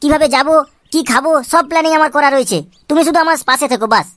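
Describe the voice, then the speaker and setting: Woman voicing a high, fast-talking cheeky cartoon lad. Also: a bit bright, dry recording. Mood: boastful, scheming. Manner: wheedling, chatty, boastful. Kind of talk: comic amateur cartoon dialogue.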